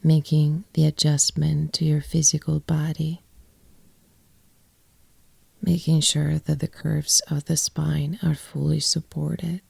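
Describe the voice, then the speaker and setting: A woman guiding a yoga nidra meditation in a soft, breathy, often near-whispered voice, with gentle phrases and long pauses. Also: sits low, completely flat delivery, dry recording. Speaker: yoga nidra teacher and guide. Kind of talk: guided meditation, soft and soothing.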